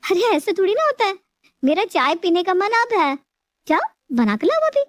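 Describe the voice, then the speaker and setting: A woman playing a put-upon, scheming young daughter-in-law in an animated moral story, with a plaintive, exaggerated delivery. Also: extremely high pitch, normal speed, dry recording, studio quality. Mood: smugly demanding.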